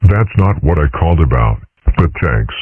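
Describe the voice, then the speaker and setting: A man voicing a dim, laid-back schoolboy bully in a cartoon comic dub, slangy and husky. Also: very deep voice, sing-song, moderate pace, no echo, exaggerated voice. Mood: dim, friendly. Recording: muffled band-limited audio.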